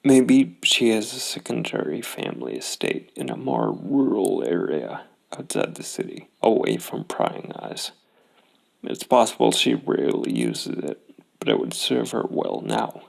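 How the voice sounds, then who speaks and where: A man's voice: on the low side, big pitch swings, unhurried, vocal fry, rough recording. A man narrating a solo tabletop role-playing game, thinking aloud and reasoning things out. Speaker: solo RPG player and host.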